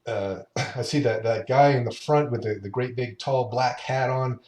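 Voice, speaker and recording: A man in his late fifties chatting as a podcast guest, talking unhurriedly with halting restarts. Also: medium pitch, darkish tone. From Utah. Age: 50s. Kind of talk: casual interview chat. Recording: roomy echo.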